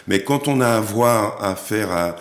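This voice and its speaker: Gravelly low-voiced man with halting speech. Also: animated, a bit bright, roomy sound. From Martinique. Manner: halting, many repeated words and restarts. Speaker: actor and director, guest. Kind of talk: TV interview.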